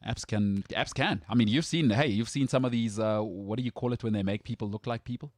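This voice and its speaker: Joking talk-show host, dry studio sound. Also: male voice, lowish voice, fairly quick, darkish tone, dry recording. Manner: jokey, rambling, plugs the show. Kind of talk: casual radio chat.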